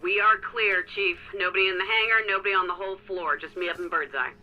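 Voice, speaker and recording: A woman acting a brisk, blunt female security deputy in an audio drama, sardonic and familiar with her colleagues. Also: medium pitch, steady tone, lo-fi sound, natural voice. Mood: brisk, watchful. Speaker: supporting character, control-room colleague.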